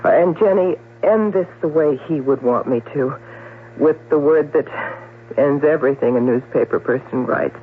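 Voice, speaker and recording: A woman's voice: low voice, moderate pace, natural voice. An actress playing a shrewd, self-possessed middle-aged newspaperwoman in a radio drama, speaking emphatically and articulately. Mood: solemn, eulogizing. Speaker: actor. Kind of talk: 1970s radio drama acting. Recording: narrowband old radio broadcast with hum.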